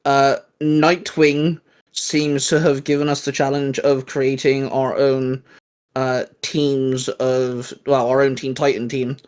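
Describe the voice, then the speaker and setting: A man's voice: mid-range voice, level tone, takes it slowly, a little mellow, clear, resonant tone. A man co-hosting a casual fan discussion about comics, talking in a chatty, rambling, hesitant way. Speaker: co-host of a geek discussion channel.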